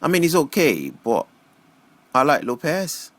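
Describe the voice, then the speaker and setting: A man's voice: on the high side, wildly swooping pitch, a little slow, a bit bright, no echo, pitched above the performer's own voice, full character voice. A man voicing a determined, guarded young woman survivor in an audiobook, speaking tersely and evasively. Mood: guarded, insistent.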